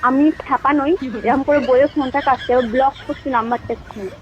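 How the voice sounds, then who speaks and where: চড়া গলার এক মহিলা, কাটা কাটা জবাব. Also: female voice, high-pitched, fairly even intonation, a little fast. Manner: sceptical, curt, sharp retorts. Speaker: recipient of a prank call. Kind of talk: casual phone conversation. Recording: muffled, noisy phone call recording.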